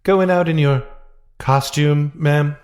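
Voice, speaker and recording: A man's voice: on the high side, steady tone, slow, darkish tone. A man playing a courteous, unassuming stage-door man in an audio drama, homely and protective. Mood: polite. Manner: homely, polite, plain-spoken.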